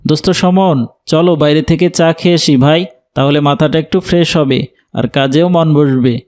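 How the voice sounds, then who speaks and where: A kindly educated office worker played by a man in a cartoon. His voice is a little high for a man, and he speaks with courteous, helpful manners. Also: fairly even intonation, fairly quick, a little mellow, close to an everyday voice. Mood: hungry, casual. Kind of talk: animated cartoon dialogue.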